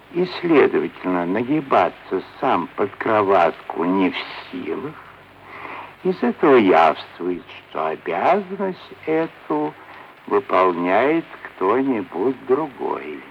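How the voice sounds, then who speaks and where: A pompous, wheedling official in a classic stage comedy. His gravelly voice lingers over every courtesy with oily deliberation, rising and falling theatrically. The old recording is dull, with a faint hiss. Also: low-pitched, exaggerated voice. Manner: unctuous, pompous, deliberate officialese with coaxing diminutives. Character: oily scheming merchant's son-in-law, pompous, wheedling, middle-aged official.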